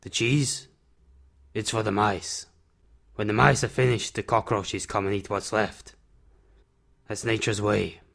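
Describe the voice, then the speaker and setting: A man acts out a gruff, fatherly recluse in an audio drama. His voice is lowish and full of gravelly creak. He speaks in short, measured, plain sentences. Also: steady tone, a bit bright, heavily acted. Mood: matter-of-fact. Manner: terse, measured, plain-spoken.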